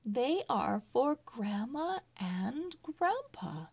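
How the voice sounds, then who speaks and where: Woman as a practical storybook mother, very slow. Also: on the high side, big pitch swings, creaky, breathy edge, pitched above the performer's own voice, full character voice.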